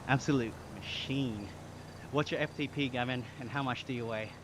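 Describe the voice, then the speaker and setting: A man's voice: medium pitch, normal speed, noisy background. A man with an Australian accent chatting casually as a live streamer, slangy, reading chat aloud and thanking viewers. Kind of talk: casual livestream chat over gaming.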